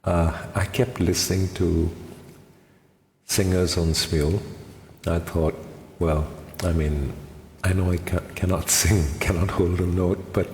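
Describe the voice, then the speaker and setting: An older man from India with a very low voice, recalling his time singing karaoke in a reflective, self-deprecating way with many pauses. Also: steady tone, darkish tone, slight background noise. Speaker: amateur karaoke singer. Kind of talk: personal recollection. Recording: echoey room with long reverb.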